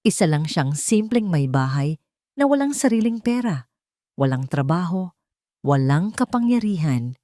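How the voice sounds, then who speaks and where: A woman's voice: lowish voice, sing-song, a little slow, mellow, no echo, studio quality. A woman with a Filipino accent reading a scripted dramatic story as a narrator.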